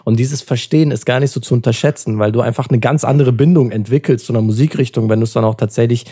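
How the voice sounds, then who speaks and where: A man's voice: medium pitch, fast, warm tone, husky. A young man in a casual podcast chat, talking in a slangy, informal way.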